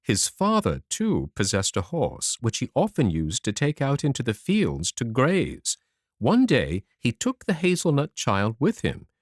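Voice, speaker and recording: A man narrating a children's fairy tale audiobook in an expressive, animated storytelling manner. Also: mid-range voice, measured pace, no room sound, studio quality.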